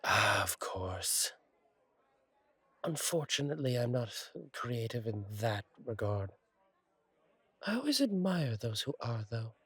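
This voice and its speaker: Casting: male actor for an easygoing fallen angel barkeep, mid-pitched and husky, wry and kind, intimate conversational roleplay. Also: sing-song, slow pace, long pauses, fairly bright tone, dry recording, heavily acted. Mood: friendly, curious. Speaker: bartender. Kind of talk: intimate audio roleplay, one-sided conversation.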